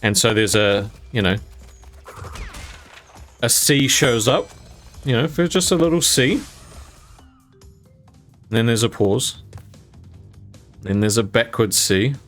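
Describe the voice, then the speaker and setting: A young man telling a story to camera in a casual, rambling way, with fillers and bursts of laughter. His voice sits in an ordinary middle range. Soft music plays faintly underneath. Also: mid-range voice, lively intonation, slow pace, long pauses. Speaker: gaming YouTuber telling a personal story.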